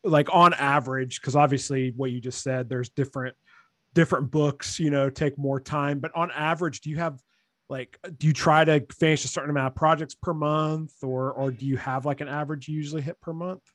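A young man with a fairly high voice hosts a podcast interview, easygoing and rambling, with lots of filler words. The recording is dry and close, with no room sound. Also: fairly even intonation, fairly quick, a bit bright, no echo. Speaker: podcast host. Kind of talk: casual interview chat.

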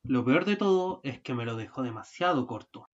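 A man's voice: mid-range voice, expressive, moderate pace, muffled recording, lightly acted. A man playing a curt, grumbling husband. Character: irritable husband in a hurry, man. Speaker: radio drama actor. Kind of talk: student radio play acting.

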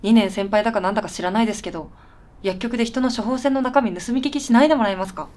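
A woman's voice: a little high, quick pace, darkish tone, close to an everyday voice. An actress playing a polite, puzzled and tired woman with a dry, blunt streak in a radio drama. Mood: irritated, blunt. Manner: polite, dry, matter-of-fact. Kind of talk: Japanese radio drama dialogue.

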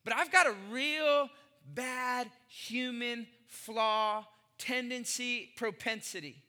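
Bright high-voiced preacher in his forties. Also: in a large hall. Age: 40s. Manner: emphatic, repeats phrases, asks the congregation questions. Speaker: pastor. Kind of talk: sermon.

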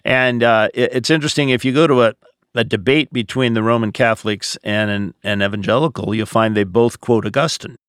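An American man speaking as a pastor, answering questions in a measured, explanatory, conversational podcast style. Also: medium pitch, fairly even intonation, a bit bright, dry recording, studio quality.

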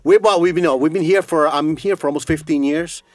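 A man's voice: a little high, fairly quick, dry recording. A man co-hosting a podcast in casual chat, teasing and laughing, on a studio mic. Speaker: podcast co-host.

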